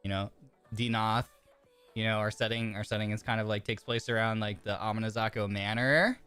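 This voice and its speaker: Low-voiced, slightly congested male streamer. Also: steady tone, fairly quick, bright, forceful tone, clear, resonant tone, faint music behind. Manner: chatty, reads card text aloud, frequent restarts and fillers. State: slightly congested, sore throat. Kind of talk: casual game stream chat.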